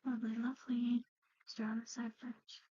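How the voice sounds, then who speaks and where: Breathy, whispering ASMR woman. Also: on the high side, level tone, dry recording, muffled recording. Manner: gentle, slow, breathy murmuring with whispers. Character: soothing eerie female medic tending a patient. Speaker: ASMR roleplay performer. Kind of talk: whispered and soft-spoken ASMR roleplay.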